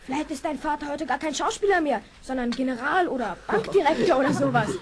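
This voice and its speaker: A young female performer playing a cheeky, excitable girl in a children's radio play. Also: high voice, fast talker, a little hiss or hum, close to an everyday voice. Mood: lively, bossy.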